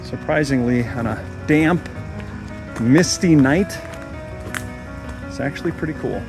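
A man narrating a casual walking tour outdoors into his handheld camera, musing and reflective, with pauses. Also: medium pitch, music in the background. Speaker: vlog host, walking tour guide. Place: outdoors, walking a wooded path at dusk. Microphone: handheld camera held by the speaker.

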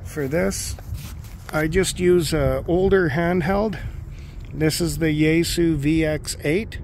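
A man giving an informal, matter-of-fact walkthrough of his gear, explaining each piece as a hobbyist. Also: a little high. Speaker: amateur radio hobbyist presenting gear. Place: outdoors on a lawn. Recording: outdoor recording with clear background noise.

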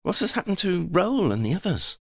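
A man's voice: high-pitched, big pitch swings, moderate pace, gravelly, fairly bright tone, voice pushed up, heavily acted. A man voicing an earnest, emotional young woman, affectionate and full of questions, in an audiobook. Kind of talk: audiobook character voice.

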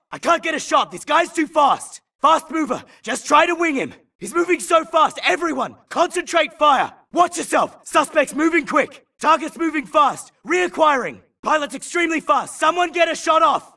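An actor playing a tough, gruff infantry soldier in a video game, calling out clipped, urgent combat callouts. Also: male voice, very high-pitched, distant mic, full character voice. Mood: urgent, focused. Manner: clipped, shouted military callouts. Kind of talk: military battle barks.

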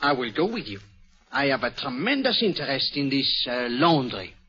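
An actor playing a fussy, clever Belgian detective with a French accent, in theatrical 1940s radio acting. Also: male voice, medium pitch, moderate pace. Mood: probing. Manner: theatrical, lively, coaxing and ironic. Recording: dull old radio broadcast.

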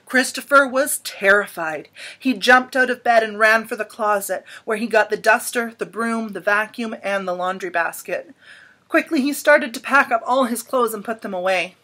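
A woman in her thirties reading a children's picture book aloud in an expressive, dramatic storytelling manner with emphatic pauses. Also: on the high side. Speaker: storybook reader. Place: small indoor room at home.

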